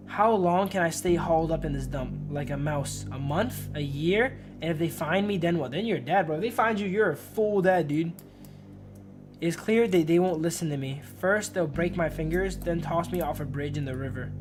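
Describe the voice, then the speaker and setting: A man doing casual game commentary as a streamer, reading story text aloud and chatting in loose bro-and-dude slang. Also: a little high. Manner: slangy, informal, often addressing listeners as bro and dude. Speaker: live streamer. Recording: music playing behind the voice. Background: music.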